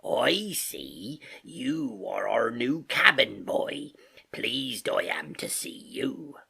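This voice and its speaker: Storybook pirate landlord, gravelly n raspy, hearty but sly, talks like a salty old sailor. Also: male voice, medium pitch, very animated, very slow, sharp tone, heavily acted. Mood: smooth, friendly. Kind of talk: audiobook character acting.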